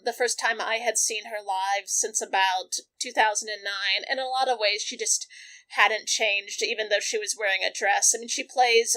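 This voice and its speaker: A woman's voice: on the high side, a bit bright, low-quality recording. A woman co-hosting a podcast in casual chat, talking with many "um"s and thinking aloud. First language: not Japanese. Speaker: podcast co-host.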